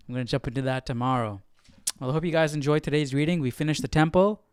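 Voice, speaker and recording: A man's voice: mid-range voice, darkish tone, clear, resonant tone, dry recording, clean studio sound. A man hosting a Bible study stream, commenting casually and chattily on a reading.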